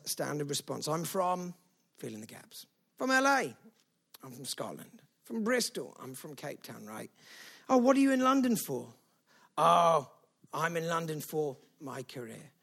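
A man's voice: fairly high pitch, gravelly. A man preaching a sermon to a church, emphatic and conversational, often adding "right?". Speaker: pastor.

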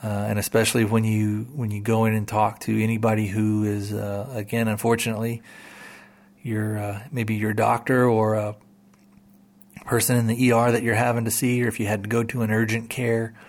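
A man's voice: fairly low pitch, monotone, normal speed. A man hosting a podcast, talking casually to listeners in a rambling way, full of repeats and "you know"s. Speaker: podcast host.

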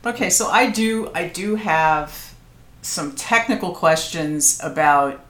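Brief: middle-aged woman interviewer, slightly low voice, chatty and humble, roomy echo. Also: animated, takes it slowly, fairly bright tone. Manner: chatty, self-deprecating, laughs often. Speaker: podcast host, interviewer. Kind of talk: casual interview. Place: home dining room. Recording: echoey room.

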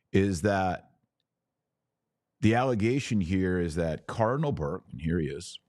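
A man delivers live opinion commentary as a podcast host. His voice sits fairly low, and he breaks his points into deliberate, emphatic phrases. The sound is dry and close to the microphone. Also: a little mellow, dry recording.

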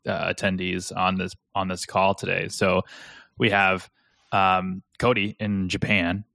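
Very deep-voiced chatty American man. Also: level tone, measured pace, vocal fry, sharp tone, no room sound, clean studio sound. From central United States. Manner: chatty, laughs easily. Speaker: podcast co-host. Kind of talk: casual podcast conversation.

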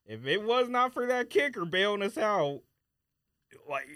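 A man with a bright, lively voice set a bit high, co-hosting a casual sports chat. He rants emphatically in slang and states his opinions plainly. The recording is dry. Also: unhurried, clear, resonant tone, dry recording.

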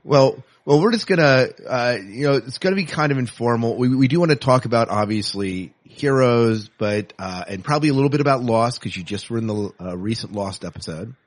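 A man's voice: medium pitch, animated, a little fast, few pauses. A man hosting a podcast in a casual, conversational interview style. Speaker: podcast host.

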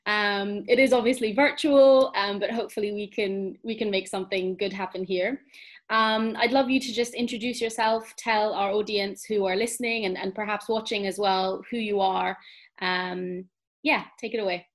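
A woman's voice: medium pitch, steady tone, moderate pace. A woman hosting a podcast, speaking in a conversational interview style. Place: remote online call.

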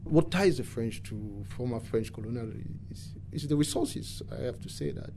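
A man from Cameroon with a Cameroonian accent gives earnest, halting interview answers, often repeating words for emphasis. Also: mid-range voice, expressive, creaky, background noise. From English-speaking Cameroon. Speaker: environmental activist and interviewee.

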